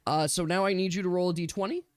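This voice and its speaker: A man acting as game master, casually narrating a tabletop game in a halting, thinking-aloud manner. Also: high-pitched, steady tone, smooth, no room sound. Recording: dry close mic with music behind. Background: music.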